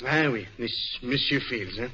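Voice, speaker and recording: An actor playing a fussy, self-important detective with a French accent and a pompous, exclamatory manner in an old radio drama. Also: male voice, medium pitch, pushed and bright. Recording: dull vintage radio recording.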